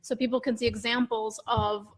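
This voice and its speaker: A woman's voice: fairly high pitch, flat delivery, moderate pace. A woman co-hosting a podcast in casual conversation, arguing her points informally and voicing little example quotes. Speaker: podcast co-host.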